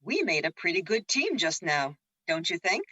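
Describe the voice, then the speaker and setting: An actress plays an imaginary companion in an audio drama, candid and encouraging. Her voice is husky and low, giving direct counsel. The recording is dry and a little dull. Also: big pitch swings, moderate pace, bright, forceful tone, dry recording, full character voice. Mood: frank, encouraging. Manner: direct, advising. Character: Luna, female imaginary friend, frank, encouraging guide.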